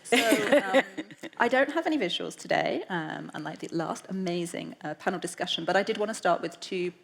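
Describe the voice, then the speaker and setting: A woman museum curator opening a panel discussion in a measured, deliberate way, often pausing with ums. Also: lowish voice, lively intonation, creaky, fairly bright tone.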